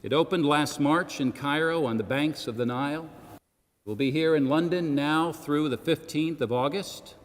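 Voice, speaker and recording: A man delivering a formal public speech with measured, emphatic oratorical phrasing and the occasional rhetorical aside. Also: fairly high pitch. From Senegal. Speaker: arts organisation president giving a launch speech. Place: church hall, addressing a gathering. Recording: long echoing reverb of a large hall.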